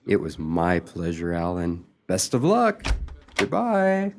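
An actor as a lively, earnest, meek friend. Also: male voice, sits low, slow pace, creaky, background noise, exaggerated voice. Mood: helpful, confiding. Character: meek, helpful old friend giving sly romantic advice. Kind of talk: radio comedy play acting.

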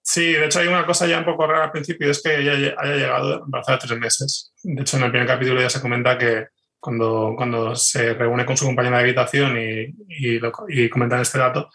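A man with a Spain Spanish accent, a writer guest explaining things casually and haltingly in a podcast interview. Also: medium pitch, flat delivery, pushed and bright, poor audio.

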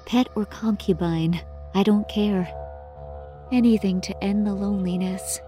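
A woman narrating sci-fi horror in the first person as a lovelorn, obsessive scientist, with a measured, intense delivery. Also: mid-range voice, a little mellow, lightly acted. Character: lovelorn, obsessive female scientist devoted to a monstrous lover. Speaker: storyteller. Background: musical score.

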